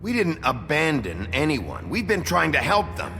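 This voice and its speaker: Gruff lawman, gravelly, lively intonation, blunt, sardonic, echoing hall, video game. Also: on the high side, moderate pace, heavily acted. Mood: confrontational. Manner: terse, sardonic, confrontational. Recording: echoing hall sound with faint music.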